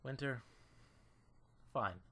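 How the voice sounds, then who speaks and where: A calm male mentor, played by a man in a visual novel drama. His voice sits in a middle range, measured and firm, with a gently persuasive manner. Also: medium pitch, full character voice. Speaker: voice actor in a fan dub.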